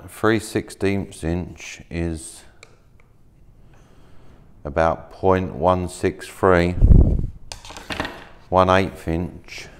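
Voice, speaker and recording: A man in his thirties casually showing materials at a workshop table, talking in a relaxed, rambling way with plenty of fillers and restarts. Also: sits low, clear, resonant tone. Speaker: maker demonstrating laser-cut materials. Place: workshop, indoors, at a work table.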